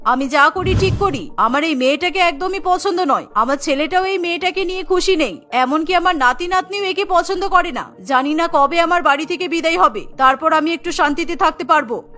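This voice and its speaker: A woman's voice: very high voice, fairly quick, hardly any pauses, bright, forceful tone, soft background music. A woman voicing a quarrelsome, resentful older mother-in-law in a cartoon, accusing and scolding.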